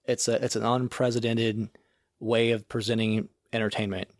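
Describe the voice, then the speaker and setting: A man's voice: on the low side, little pitch movement, moderate pace, no echo. Young man in his twenties, podcast co-host, slangy and eager, dry close sound. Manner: enthusiastic, slangy, heavy use of "like". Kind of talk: casual chat.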